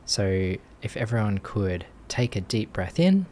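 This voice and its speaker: A man leading a guided meditation as a teacher, speaking gently in short phrases with long pauses. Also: lowish voice, very animated, a little hiss or hum. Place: live online group session.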